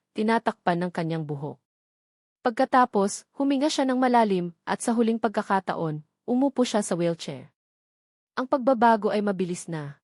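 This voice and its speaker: A woman's voice: mid-range voice, darkish tone, dry recording. Woman narrating dramatic fiction, dry sound. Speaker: story narrator. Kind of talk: scripted dramatic romance narration.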